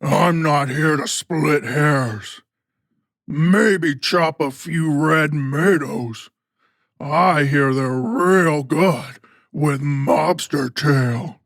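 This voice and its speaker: Creaky, very slow, sinister ghost. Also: male voice, on the high side, a bit bright, no room sound, studio quality, heavily acted. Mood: gleefully sinister. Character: sly, invisible male ghost with a hatchet. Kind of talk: audiobook character acting.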